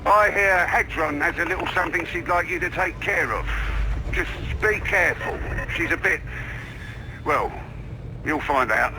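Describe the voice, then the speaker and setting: An actor playing a plain-spoken, world-weary but chummy soldier in a video game, colloquial and wry. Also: male voice, on the high side, moderate pace, noisy background, lightly acted. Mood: confiding. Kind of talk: video game cutscene acting.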